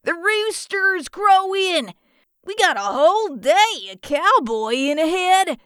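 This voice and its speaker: A woman voicing an excitable, boisterous male would-be cowboy with a put-on drawl in a children's audiobook. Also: sits high, takes it slowly, dry recording, studio quality, pitched above the performer's own voice, heavily acted. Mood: excited, shouting. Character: excitable cowboy-obsessed male birthday boy, boisterous and cheerful.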